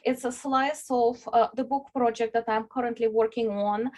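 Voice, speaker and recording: A woman's voice: on the high side, fairly even intonation, normal speed, darkish tone. A woman from Ukraine giving an academic talk over a video call, earnest, with many filler words. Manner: earnest, with frequent uh and you know fillers. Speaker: lecturer.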